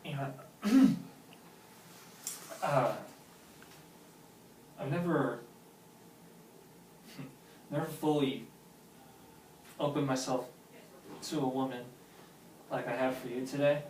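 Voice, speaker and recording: An actor playing a lovesick, wounded man with a sarcastic edge, halting and emphatic in a naturalistic drama read-through. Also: mid-range voice, darkish tone, natural voice. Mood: wounded, earnest. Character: lovesick, wounded, sarcastic man confessing love to his friend. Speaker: actor at a script table read. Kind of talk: naturalistic film drama dialogue read aloud. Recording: roomy echo, distant mic, faint noise.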